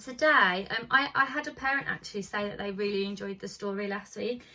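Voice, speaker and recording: A woman's voice: mid-range voice, steady tone, fairly quick, bright, forceful tone, far from the mic. A woman primary school teacher reading aloud to children in an informal, chatty way.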